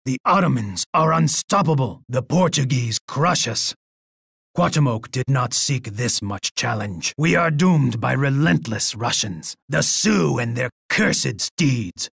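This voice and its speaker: An actor playing a proud, bloodthirsty and unhinged Aztec emperor in a video game, with a husky voice and a pompous manner. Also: male voice, medium pitch, expressive, measured pace, a bit bright, dry recording, clean studio sound, full character voice. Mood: grim, fearful. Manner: pompous, speaks of himself in third person. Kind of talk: video game character voice lines.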